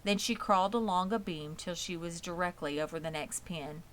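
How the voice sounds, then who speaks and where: A woman's voice: lowish voice, normal speed, fairly bright tone, poor audio. A woman reading a children's story aloud as scripted narration. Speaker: audiobook reader.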